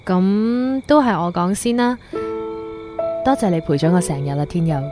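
A woman acting an affectionate young woman in love in a Cantonese radio drama, with a coy, girlish, expressive delivery. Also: lowish voice, normal speed, music in the background, lightly acted. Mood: tender, wistful.

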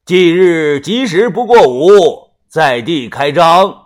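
A man voicing a commanding village feng shui master in an audio story, with bossy, ritual, drawn-out delivery. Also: on the high side, expressive. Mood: solemn, ritual chanting.

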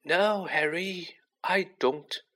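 Man as elderly male wizard headmaster, storybook reading, gentle, wise, soft-spoken, lifted pitch, unhurried measured delivery. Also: exaggerated voice. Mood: gentle, grave.

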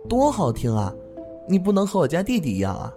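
A man's voice: sits high, huge pitch swings, a little fast, over music, full character voice. An actor playing a cheerful, good-hearted young man who chats eagerly and laughs easily in an audio drama. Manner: bright, chatty, laughs easily.

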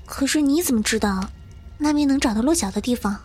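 A woman's voice: high voice, fairly quick, a little mellow, breathy edge. A woman playing an earnest, anxious young survivor in an audio drama, with emotional, pleading delivery.

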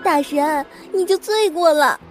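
An excitable fangirl with an extremely high, girlish voice, played by a woman in an audio drama. She gushes and teases playfully over clearly audible music. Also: unhurried. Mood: teasing. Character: gushing, teasing girlish fangirl. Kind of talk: Chinese audio drama acting.

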